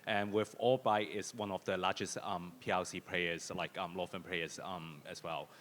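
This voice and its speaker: A man with an Australian accent introducing himself on a conference panel, speaking in a halting way with frequent pauses. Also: sits low, steady tone, fairly bright tone, big-room sound. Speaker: panelist, lawyer. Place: conference hall.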